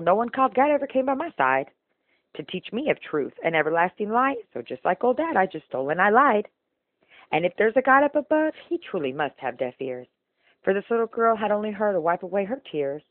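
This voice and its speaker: A woman's voice: mid-range voice, dry recording, narrow, tinny audio. A woman performing spoken-word slam poetry in an emotional, rhythmic, rhyming delivery. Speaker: slam poet.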